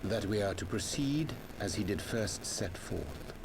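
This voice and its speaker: An actor playing a solemn, scholarly conspirator in a fantasy game, speaking in measured, formal, archaic language. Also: male voice, fairly low pitch, expressive, heavy background noise. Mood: grave, foreboding. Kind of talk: theatrical fantasy video game acting.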